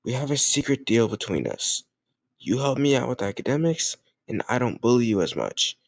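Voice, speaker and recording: A man's voice: mid-range voice, big pitch swings, normal speed, creaky, no room sound. A man playing a cocky, popular teenage football captain bully with a secret tender side, teasing and hesitant in an intimate audio roleplay spoken to the listener. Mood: smug, teasing. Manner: teasing, smug, hesitant murmured asides.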